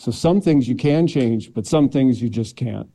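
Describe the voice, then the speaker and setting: A man's voice: medium pitch, normal speed, gravelly, mellow. A man answering questions as a candidate for county clerk, earnest and plainspoken with some light joking.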